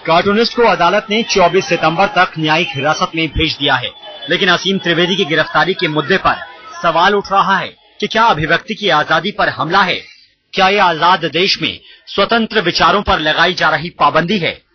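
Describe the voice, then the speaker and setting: A man's voice: fairly high pitch, moderate pace. A man reading a scripted news report in a dramatic, emphatic way. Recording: dull audio with background noise.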